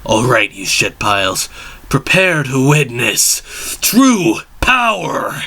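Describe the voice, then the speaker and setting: A man voicing a cocky, menacing male hedgehog henchman with a gruff, taunting manner in a story reading. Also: medium pitch, huge pitch swings, takes it slowly, sharp tone, exaggerated voice.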